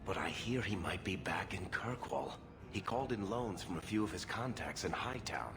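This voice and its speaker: Low-voiced wry roguish dwarf. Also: male voice, lively intonation, normal speed, noisy background. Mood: wry, confiding. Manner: wry, confiding, sardonic. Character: wry, roguish male dwarf, cool and sardonic. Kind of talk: video game voice acting.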